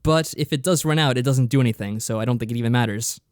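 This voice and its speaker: A man co-hosting a podcast, explaining things in casual, matter-of-fact review chat with deadpan sarcasm. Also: medium pitch, fast, smooth, no room sound, studio quality. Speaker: podcast co-host.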